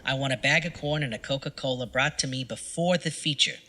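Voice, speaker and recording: Man playing a brusque, bright-voiced noir film critic. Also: medium pitch, a little fast, close to an everyday voice. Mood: breezy, brusque. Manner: wisecracking, dismissive, clipped slangy talk. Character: gruff, cynical 1940s male film critic, world-weary and brusque. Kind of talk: film noir audio drama acting.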